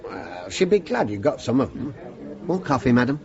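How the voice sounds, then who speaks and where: A man's voice: mid-range voice, very animated, normal speed, a little mellow. An actor playing a plain-spoken, loyal middle-aged chauffeur and father with a southern English working-class accent, in a radio drama. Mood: polite, deferential. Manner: deferential to employer, firm with son. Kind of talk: British radio drama acting. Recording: muffled, noisy vintage radio recording.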